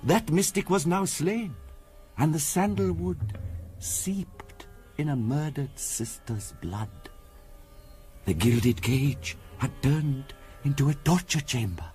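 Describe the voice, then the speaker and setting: An actor playing a solemn, courteous mystic in a radio drama, speaking in a measured, formal, portentous storytelling manner. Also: male voice, on the high side, lively intonation, a little mellow, faint music behind. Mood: grave, portentous. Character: solemn, courteous male mystic recounting a family curse. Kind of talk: 1970s radio drama acting.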